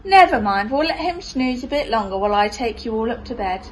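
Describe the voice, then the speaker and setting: A brisk, motherly elephant played by a woman reading a storybook, firm but fond with her brood. The voice is high and swings freely in pitch, with clear noise on the recording. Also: animated, close to an everyday voice. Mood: indulgent.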